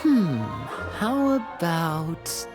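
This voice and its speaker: A woman playing a quiet, measured, deadpan young woman in a video game. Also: on the low side, over music. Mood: pondering.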